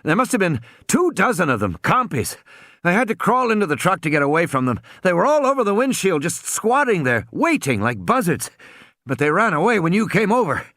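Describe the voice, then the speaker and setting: A man voicing an excited grown male character who talks in a rushed, emphatic way. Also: high voice, no room sound, clean studio sound, voice pushed up.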